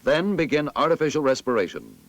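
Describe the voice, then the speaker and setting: American male narrator for vintage military training film: authoritative, clearly enunciated, mid-pitched with lively intonation. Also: a little fast, dry recording.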